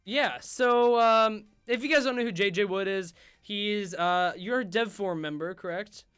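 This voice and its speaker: A young man hosting a gaming livestream, rambling with lots of fillers and going hoarse. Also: sits high, moderate pace, a bit bright, faint music behind. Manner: rambling, filler-heavy, self-interrupting. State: losing his voice. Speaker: host. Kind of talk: casual livestream chat while gaming.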